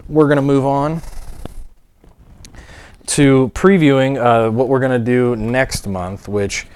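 A man's voice: medium pitch, fairly even intonation, slow pace, darkish tone, smooth. A man hosting a live stream in casual chat, joking loosely and swearing freely. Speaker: show host. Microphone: desk mic, shared setup.

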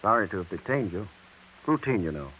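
An actor playing a matter-of-fact doctor with an offhand professional manner in a 1930s radio drama. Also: male voice, on the low side, sing-song, a little fast. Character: brisk, matter-of-fact male police-call doctor. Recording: dull, hissy vintage radio recording.

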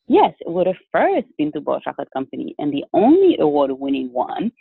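A man from Haiti explains things at a measured pace and often restarts his sentences. His voice sits a little high for a man and has a gravelly fry. It comes over a dry, tinny, phone-like line. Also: huge pitch swings, no room sound. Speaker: guest entrepreneur. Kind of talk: interview answers.

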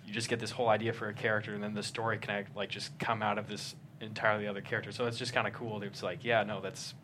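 Male panelist, colloquial rambling chat, rapid delivery, audible background noise. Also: on the low side, flat delivery, pushed and bright. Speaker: show panelist. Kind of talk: casual chat. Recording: clear background room noise.

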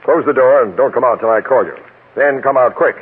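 Police captain, American male actor: curt, commanding, slightly husky, 1940s radio drama on a narrow, old recording. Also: medium pitch, fairly quick, natural voice. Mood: brisk, businesslike. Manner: curt, authoritative.

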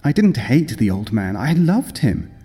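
A man's voice: mid-range voice, very animated, mellow, slightly rough. An actor playing a nervous, obsessive madman confessing a murderous plot, insistent and intense, in old-time radio horror style. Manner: insistent, intense, deliberate self-justifying delivery. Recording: long reverb, music behind.

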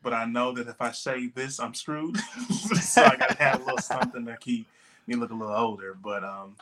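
A man in his late twenties from Texas, a podcast guest talking in a relaxed, rambling way with many fillers. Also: mid-range voice, fairly quick, over a noisy crowd. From Texas, USA. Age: 20s. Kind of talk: casual interview talk.

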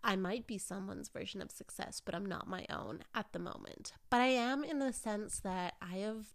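A woman's voice: mid-range voice, normal speed, creaky. A woman hosts a casual solo podcast in an informal, effusive way, slightly nasal and raw-throated from a cold. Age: early twenties. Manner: informal and effusive, peppered with "like" and "you know". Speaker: podcast host.

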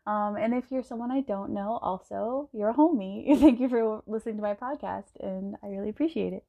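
A woman's voice: mid-range voice, brisk, gravelly, dark tone. Young texas woman rambling on her podcast, laughs a lot, kinda muffled lo-fi sound. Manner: chatty, laughs often, many ums. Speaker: podcast host. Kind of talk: casual solo podcast monologue.

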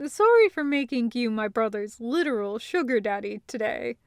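Audiobook role: teasing, affectionate, brash adult sister played by a woman, higher-set voice, dry close sound. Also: lively intonation, moderate pace, no echo. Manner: teasing, casual. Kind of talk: acted dialogue in an audiobook reading.

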